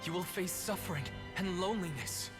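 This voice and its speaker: A man's voice: high-pitched, a little fast, loud music behind the voice, close to an everyday voice. A man voicing a solemn otherworldly figure giving a warning in a dramatic stage play within a game. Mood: grave.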